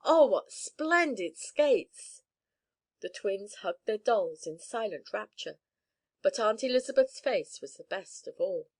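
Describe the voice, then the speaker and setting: A woman narrating a story in a calm, gentle, soothing reading. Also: medium pitch. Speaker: audiobook narrator.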